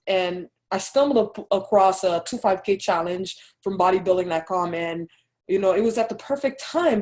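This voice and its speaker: A young woman from Haiti with a Haitian accent, telling her own story as an interview guest in an earnest, soft-spoken way. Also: mid-range voice. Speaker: interview guest, Army captain. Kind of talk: casual personal story.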